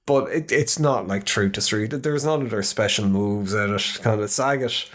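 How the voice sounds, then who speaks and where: A man co-hosting a podcast, chatting casually in a rambling, animated way and often swearing. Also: on the low side, normal speed. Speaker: podcast co-host. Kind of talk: casual chat.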